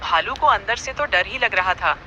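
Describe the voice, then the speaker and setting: Male narrator, high voice, very sharp tone, scripted animal fable, faint background noise. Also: expressive, moderate pace, dull audio. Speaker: storyteller.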